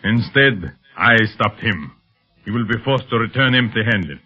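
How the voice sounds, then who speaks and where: An actor playing a smug, cold criminal mastermind with imperious, formal speech in a 1930s radio serial. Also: male voice, fairly low pitch, expressive, unhurried, breathy edge. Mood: gloating, confident. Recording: muffled, lo-fi 1930s radio recording.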